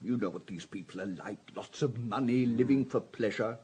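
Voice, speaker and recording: An actor playing an obliging but world-weary hotel floor waiter with a husky voice in a radio drama. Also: male voice, fairly low pitch, moderate pace, darkish tone. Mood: weary, gossipy.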